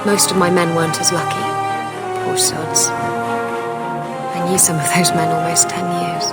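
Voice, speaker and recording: A woman's voice: fairly low pitch, level tone, measured pace, loud music behind the voice, natural voice. A woman playing a worldly, flirtatious pirate captain in a video game, speaking in a wry, candid way. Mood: rueful. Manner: wry, candid, casually confiding. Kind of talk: video game dialogue acting.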